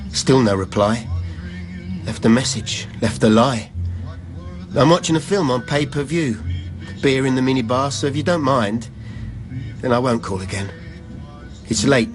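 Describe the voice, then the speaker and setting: A man's voice: mid-range voice, lightly acted. An actor playing a weary, dogged labourer who sleeps in his van, in a rhythmic, confessional verse monologue for radio drama. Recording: music behind the voice.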